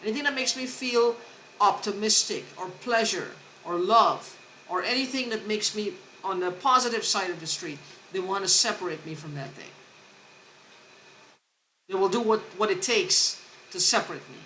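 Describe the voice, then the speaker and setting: Earnest, halting woman in an echoey room. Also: mid-range voice, pushed and bright, slight background noise. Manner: halting, with many restarts and repeated words; earnest and insistent. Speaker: vlogger. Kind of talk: rambling personal monologue to camera.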